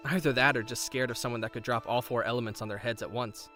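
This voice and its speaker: A man's voice: mid-range voice, fairly even intonation, fast, lightly acted. A man acting a playful, self-doubting young Avatar in a fan-made visual novel, earnest and teasing. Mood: earnest, confiding. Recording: background music throughout.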